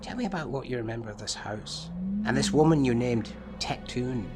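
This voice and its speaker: A man playing a patient, probing machine therapist in an audio drama, speaking in a measured, formal, clinical way. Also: medium pitch, natural voice. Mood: patient, coaxing. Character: probing, patient male computerised therapist consulting databanks. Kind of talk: scripted audio drama dialogue. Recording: clear music behind the voice. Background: music.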